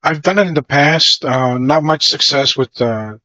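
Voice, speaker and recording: A man's voice: fairly high pitch, normal speed, bright, forceful tone, dry recording, lo-fi sound. A man from Mexico, a real estate agent on a sales call, talking hesitantly and restarting his words.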